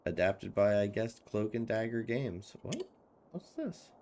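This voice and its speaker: A man's voice: on the low side, sing-song, measured pace, darkish tone, a little hiss or hum. A man giving casual, informal game commentary, reacting offhand as he plays. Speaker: let's play commentator. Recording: music behind the voice.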